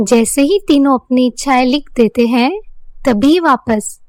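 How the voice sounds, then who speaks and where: A woman's voice: high-pitched, measured pace, no echo. A woman giving scripted narration of children's moral stories.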